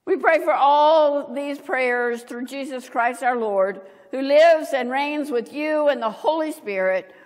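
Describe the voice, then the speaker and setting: An older woman, a pastor, reading prayers and liturgy in a solemn, measured manner, phrase by phrase, at a church lectern. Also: high-pitched. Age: older, 70s. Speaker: pastor leading worship. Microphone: gooseneck lectern microphone and clip-on. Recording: reverberant church hall.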